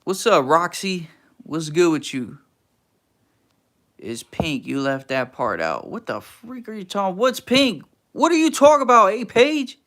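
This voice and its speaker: A man's voice: high-pitched, lively intonation, a little slow, a bit bright. A young man from Texas, hosting a casual livestream and answering chat in a laid-back, slangy, teasing way. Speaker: livestream host reading chat.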